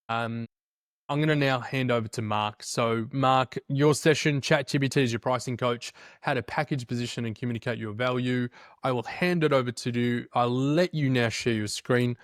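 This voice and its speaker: Male online-summit host, mid pitch, dry close sound, upbeat, some fillers. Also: no room sound. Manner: upbeat, thanking sponsors, hedging fillers. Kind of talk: event hosting.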